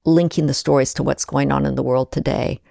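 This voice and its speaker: A woman speaking as an interview guest, reflective and measured, talking with warm enthusiasm about shared projects. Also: a bass voice, steady tone, vocal fry, no room sound, clean studio sound.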